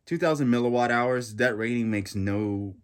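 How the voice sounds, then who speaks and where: A man's voice: mid-range voice, moderate pace. A man with a congested, stuffy nose giving a casual, rambling reaction review of a gadget, full of fillers and restarts. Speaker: tech reviewer.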